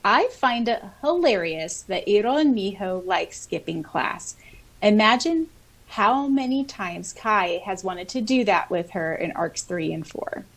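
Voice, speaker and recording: Expressive podcast woman, kinda giggly, mid pitched voice, just chatting and reading comments. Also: a little slow, low-quality recording. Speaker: podcast co-host. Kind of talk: casual podcast chat, reading out listener comments.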